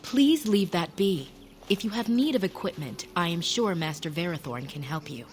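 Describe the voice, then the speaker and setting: A woman plays a courteous, firm elf from a fantasy game. Her voice is slightly low with very lively pitch movement and formal phrasing. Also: normal speed, natural voice. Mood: politely firm. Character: polite, firm female elven villager.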